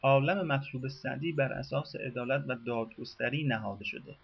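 A man's voice: medium pitch, vocal fry, slightly rough, muffled recording. Man reading formal radio narration. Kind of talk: scripted documentary narration.

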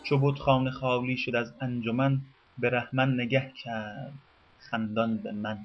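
A man reads scripted radio narration in a measured, formal manner and eases into a slower cadence whenever he recites classical verse. His voice sits at an ordinary middle pitch. The recording sounds dull and lo-fi, like an old radio broadcast. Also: level tone, music in the background.

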